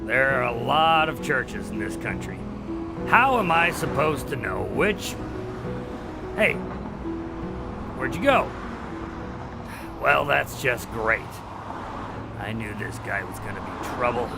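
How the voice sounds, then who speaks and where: An actor playing a cynical, self-centred skeptic in an audio drama, blunt and sarcastic. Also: male voice, medium pitch, lively intonation, takes it slowly, gravelly, pauses often, loud music behind the voice. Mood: baffled, suspicious.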